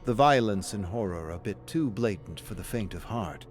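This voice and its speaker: Man as a deep, sinister game narrator over music. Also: animated, normal speed, lightly acted. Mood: tense, menacing. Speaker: narrator of a video game story. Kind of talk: scripted dramatic story narration.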